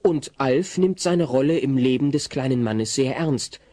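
A man's voice: medium pitch, measured pace, dark tone, no room sound. A man narrating a scripted German audio play as storyteller.

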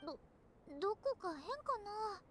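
A woman's voice: very high-pitched, a little slow, slight background noise. A woman playing a timid, earnest teenage girl nun in an anime game, hesitant, polite and stammering. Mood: flustered, hurt.

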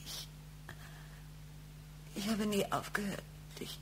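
An actress playing an emotional, long-suffering, fiercely loyal wife in a German radio crime drama, fervent and wounded. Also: female voice, medium pitch, steady tone, slow pace, a bit bright, slight background noise. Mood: tearful, hushed.

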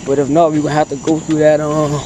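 A young man talks in loose, excitable slang to a handheld camera, his voice sitting a bit high for a man. He is exploring a dark abandoned building at night and keeps dropping to a whisper. The camera audio is noisy, with crickets chirping behind him. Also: fast talker. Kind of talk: casual handheld vlog.

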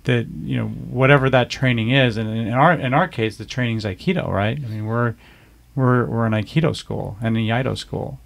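Gravelly man, earnest podcast co-host. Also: mid-range voice, fairly even intonation, normal speed. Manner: earnest, agreeable, builds long explanations. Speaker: aikido school co-host. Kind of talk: casual podcast conversation.

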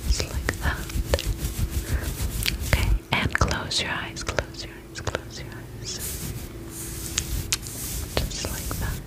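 A gentle female vampire spa attendant, played by a woman in an ASMR roleplay. She whispers breathily and takes her time, soothing and attentive as she reassures her client. The delivery is soft and slow throughout. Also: natural voice, poor audio. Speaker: ASMR performer.

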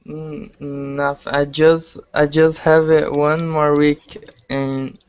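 A man's voice: on the high side, little pitch movement, takes it slowly, smooth. A young man, a student on a video call, answering in hesitant beginner English. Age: young adult. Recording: dull video call audio.

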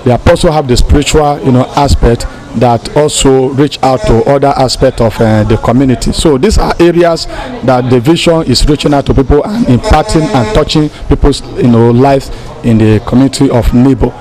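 A man in his forties with a Nigerian accent giving halting, repetitive interview answers as a pastor. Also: mid-range voice, dark tone, low-quality recording. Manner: halting, repeats phrases. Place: outdoors beside a house wall. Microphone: handheld interview microphone.

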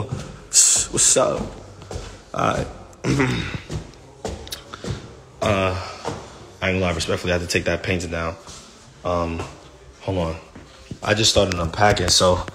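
A man's voice: lowish voice, background noise. A young man livestreaming as he walks through an empty house, talking casually in slang with lots of swearing and hype, into a phone held at arm's length. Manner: excitable, slangy, swears a lot, hypes things up. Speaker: livestreamer giving a house tour. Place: large empty house indoors, walking between rooms.

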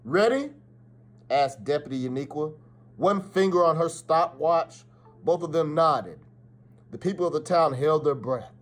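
A man's voice: fairly high pitch, normal speed. A middle-aged man reading a children's story aloud expressively, with dramatic emphasis and the odd stumble. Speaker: school principal reading aloud. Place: small office, indoors. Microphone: phone or webcam at arm's length.

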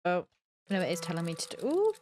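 A woman's voice: fairly low pitch, big pitch swings, fast talker, noisy background. A young woman gives casual let's play commentary, thinking aloud and questioning herself in puzzlement. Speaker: gaming video host. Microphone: close desk mic with headset on.

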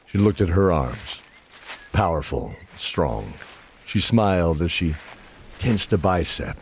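A man's voice: low voice, expressive, takes it slowly, slight background noise. A man narrating a scripted fantasy audio drama. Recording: voice over prominent music.

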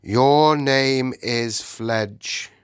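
A man reading aloud and voicing a majestic male lion king with a slow, grand, solemn delivery. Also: mid-range voice, steady tone, a bit bright, no room sound, studio quality. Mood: commanding.

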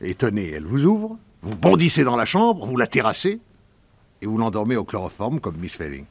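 A gruff sarcastic sheriff. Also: male voice, fairly low pitch, big pitch swings, fairly quick, dry recording, full character voice. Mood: accusing. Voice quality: mocking, blustering. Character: gruff sarcastic male small-town sheriff. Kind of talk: French radio drama acting.

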